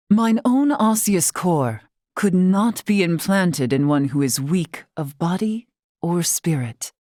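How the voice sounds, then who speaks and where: धीमे सुर वाली गंभीर महिला मुखिया. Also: female voice, low voice, warm tone, dry recording, clean studio sound. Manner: formal, archaic, measured phrasing. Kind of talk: video game character acting.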